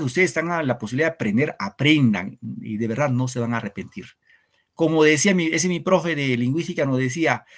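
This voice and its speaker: Earnest male teacher lecturing. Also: a little high. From Peru. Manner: earnest, reflective lecturing with frequent rhetorical questions. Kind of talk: online literature class.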